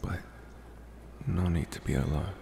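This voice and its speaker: Casting: man as infatuated college-age vampire for ASMR roleplay, polite, reassuring, very low with heavy fry. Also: mellow, roomy sound, big-room sound, slight background noise. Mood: calm, reassuring. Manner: slow, reassuring, hesitant with deliberate pauses.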